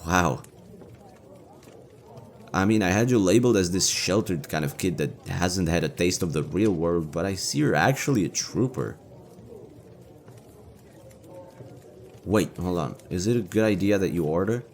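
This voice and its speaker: A young elven leader voiced by a man with a low-pitched voice, speaking as an affectionate boyfriend in an intimate audio roleplay. He is casual and teasing, yet idealistic at heart. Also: lively intonation, normal speed, darkish tone, a little hiss or hum. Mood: curious, teasing. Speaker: voice actor in romantic audio roleplay.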